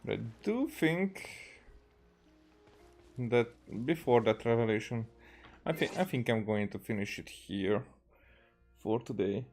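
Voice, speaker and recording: A man from Hungary with a Hungarian accent, a native Hungarian speaker, giving casual, halting game commentary as he thinks aloud. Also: mid-range voice, lively intonation, a little mellow, faint music behind. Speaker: game streamer.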